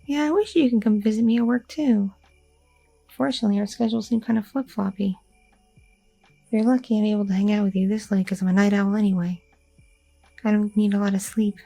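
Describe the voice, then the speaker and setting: Woman acting a mellow, husky goth girlfriend. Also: mid-range voice, fairly even intonation, fairly quick, long pauses, faint music behind, poor audio. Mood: chatty, casual. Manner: casual, rambling, teasing, slangy. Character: chatty, curious young adult goth girlfriend with an office job. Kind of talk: intimate ASMR audio roleplay.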